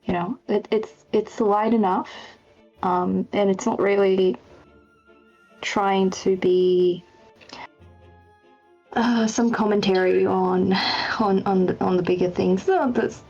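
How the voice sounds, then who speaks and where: A woman's voice: mid-range voice, fairly even intonation, slow, long pauses, dark tone. A woman game developer chatting casually in a Q&A, hesitant as she thinks aloud, with dull lo-fi sound. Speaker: game developer, project lead and writer. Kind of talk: casual Q&A chat. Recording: dull lo-fi sound, faint music.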